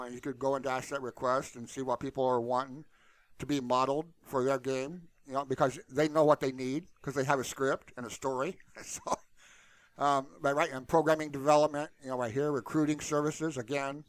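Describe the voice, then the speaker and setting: A man talks through a screen share in a casual, unscripted style. His delivery is blunt and colloquial, and he rambles as he goes. His mid-pitched voice is recorded close and dry. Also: dry recording, poor audio. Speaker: tutorial channel host.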